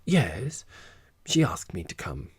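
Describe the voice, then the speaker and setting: A man voicing an eager, deferential gentleman friend in an audiobook, fussy, polite and coaxing in a gossipy way. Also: sits low, sing-song, slow pace, breathy edge, no room sound, full character voice. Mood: polite, agreeable. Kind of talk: audiobook character dialogue.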